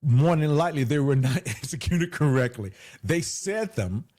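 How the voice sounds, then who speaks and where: A man's voice: fairly high pitch, expressive, moderate pace, darkish tone. Man, guest in an interview, big voice, emphatic, repeats key phrases. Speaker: guest, hypnotherapist. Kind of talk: conversational interview.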